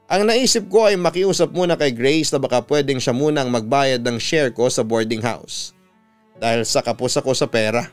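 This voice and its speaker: A man's voice: medium pitch, clean tone. A Filipino man who works as a radio announcer, reading out a listener's story as dramatic scripted narration. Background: music behind the voice.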